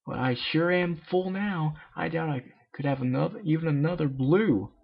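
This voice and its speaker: A man's voice: fairly high pitch, normal speed, vocal fry, husky, voice pushed up. A man voicing a flustered, awkward young female cartoon pegasus pony with hesitant, stammering speech, on a muffled home recording.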